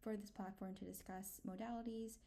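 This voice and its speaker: A young adult woman giving a scripted academic medical presentation in a measured, explanatory way. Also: mid-range voice, fairly even intonation, warm tone.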